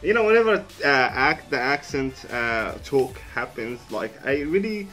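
A man's voice: mid-range voice, very animated, measured pace, sharp tone, soft background music, poor audio. A man in his thirties, a native Arabic speaker with an Arabic accent, chatting loosely in a reaction video, rambling and swearing casually, recorded in a small room on earphones. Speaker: reaction vlogger. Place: small indoor room with a sheet hung behind. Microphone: wired earphone mic.

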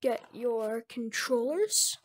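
A speaker giving informal, improvised step-by-step instructions in a casual screen-recorded software tutorial. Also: medium pitch, lively intonation, slow pace, low-quality recording. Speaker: tutorial presenter.

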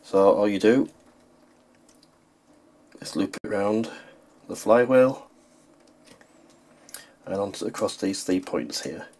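A man's voice: fairly low pitch, slow pace, gravelly, long pauses, darkish tone, breathy edge. A man narrating a hands-on how-to as a tutorial host, explaining plainly step by step.

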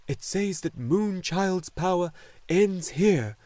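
A man voicing a wise, joyful luck dragon in a storybook, speaking slowly and solemnly with great deliberation. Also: high-pitched, lively intonation, warm tone, dry recording, pitched above the performer's own voice. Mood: solemn, measured. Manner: slow, solemn, deliberate delivery.

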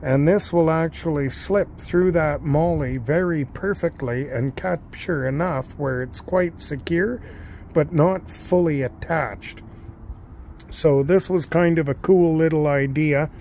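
A man giving an unscripted, matter-of-fact and halting demonstration as a hobbyist host, recorded outdoors on a handheld device. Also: on the high side, a little mellow, slight background noise. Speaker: amateur radio hobbyist and video host. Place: outdoors in a backyard and deck.

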